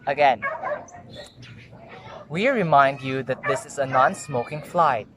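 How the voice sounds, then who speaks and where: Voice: medium pitch, takes it slowly, fairly bright tone, a little hiss or hum. A young adult with a Filipino accent, practicing scripted announcements and chatting as an amateur vlogger, with careful but stumbling delivery. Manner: careful, formal reading with stumbles and retakes. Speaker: tourism student practicing flight attendant announcements. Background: music, barking dog at times.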